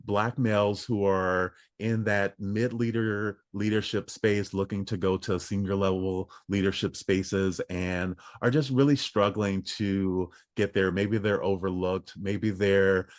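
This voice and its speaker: A man, a leadership coach, speaking in an earnest, measured way with frequent ums as a podcast guest. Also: deep voice, no echo, studio quality. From United States. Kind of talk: casual interview talk.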